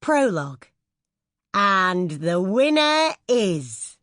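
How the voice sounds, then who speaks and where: A woman's voice: mid-range voice, big pitch swings, extremely slow, fairly bright tone, no echo, studio quality. A woman reading a scripted first-person memoir as an audiobook narrator.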